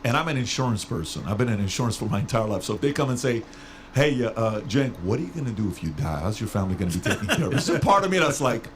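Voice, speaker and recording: A man from Iran hosting a podcast and asking long, rambling questions. He repeats himself and slows down to stretch out words. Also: mid-range voice, sing-song, slight background noise. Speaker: podcast host. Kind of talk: casual interview talk.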